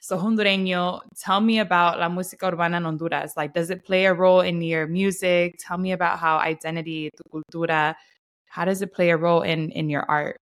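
A woman's voice: fairly low pitch, fairly even intonation, normal speed. A woman hosting a podcast, upbeat and affirming, chatting casually with her guest. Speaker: podcast host. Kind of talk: casual interview chat, mixing English and Spanish.

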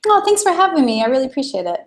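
A woman's voice: high voice, very animated, rapid-fire. Woman from Texas, thirties, interview guest, chatty, many fillers. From Dallas, Texas. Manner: chatty, lots of ums and laughs. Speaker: guest, events company founder. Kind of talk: casual interview chat.

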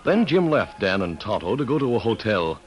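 A man's voice: on the low side, sing-song. A man narrating an old-time radio western in a dramatic, scripted announcer style. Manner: dramatic, measured announcer delivery.

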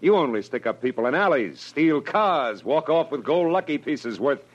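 An actor playing a tough, wry private detective, sardonic and clipped, in 1940s hardboiled radio drama style. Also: male voice, on the high side, lively intonation, normal speed, lo-fi sound. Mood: tough, accusing. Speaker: lead actor and narrator.

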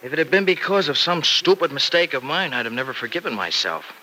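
An actor playing a grateful young farm husband in a radio soap, with an American accent and an exclamatory, folksy manner. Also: male voice, a little high, lively intonation, fast talker, pushed and bright. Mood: worried. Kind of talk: 1950s radio soap opera acting. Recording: noisy old radio recording.

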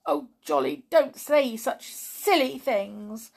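Woman voicing a sad little doll, high-pitched and tearful. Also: animated, slow, creaky, full character voice. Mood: cross, miserable. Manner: sobbing, stammering when upset. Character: tearful, sensitive female doll, sad and easily cross.

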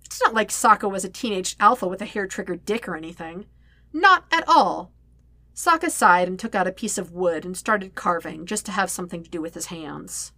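A woman's voice: on the low side, expressive, normal speed, pushed and bright, no echo. A woman reading scripted fiction aloud as a narrator, expressively and conversationally, with wry emphasis. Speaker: podfic narrator.

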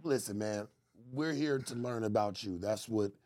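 A man's voice: lowish voice, moderate pace, dark tone. A man hosting a casual interview, asking blunt, slangy, prodding questions. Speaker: interview host.

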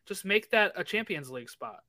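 A man's voice: sits high, very animated, fairly quick, crowd noise. A man co-hosting a podcast in casual sports chat, agreeable and affirming. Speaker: podcast co-host.

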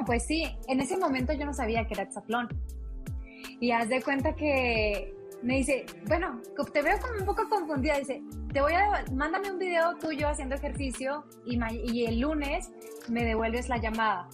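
A woman's voice: high voice, moderate pace, over music. A young Mexican woman, a guest on a talk show, telling a story in a chatty way and acting out what people said to her. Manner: storytelling, animated with quoted voices. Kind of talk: casual interview chat.

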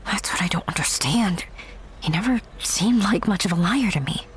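A woman's voice: medium pitch, expressive, normal speed, slight background noise, close to an everyday voice. An actress playing a sarcastic, guarded young woman who calls herself a theater girl, in an audio drama. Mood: wry. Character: wry young theater girl, new girlfriend.

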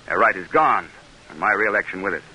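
A shrewd, proud middle-aged American politician in a vintage radio drama. The voice is low and husky, with a hard, very bright, forceful edge. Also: male voice, brisk, full character voice, rough recording. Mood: worried, calculating. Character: proud, shrewd middle-aged male senator running for re-election. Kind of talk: old-time American radio drama acting. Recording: vintage radio broadcast recording.

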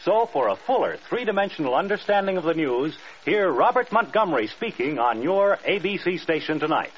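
An American man reading a scripted old-time radio announcement in a polished, measured, emphatic way. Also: on the high side, big pitch swings. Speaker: radio network promo announcer. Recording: muffled, noisy old radio broadcast.

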